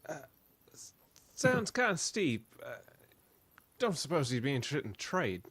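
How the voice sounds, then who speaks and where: A man's voice: on the high side, very animated, measured pace, a bit bright, slightly rough, heavily acted. A man playing easygoing, casual men of a frontier town story in an amateur radio play. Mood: casual, persuading.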